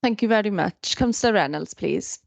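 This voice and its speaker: A woman chairing a council committee meeting, brisk and formal as she moves through the agenda. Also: mid-range voice, animated, vocal fry, no room sound.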